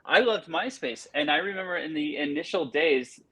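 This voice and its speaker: A man's voice: fairly high pitch, animated, normal speed, crowd noise, poor audio. A man in his early forties hosting a casual podcast chat, rambling with many restarts and fillers. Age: 40s. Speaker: podcast host.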